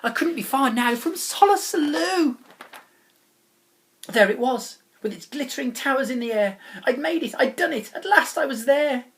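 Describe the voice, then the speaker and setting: A woman's voice: high voice, lively intonation, normal speed. A woman reading a rhyming children's story aloud in a dramatic, emphatic manner. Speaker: storybook reader. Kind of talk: expressive rhymed storybook narration for children.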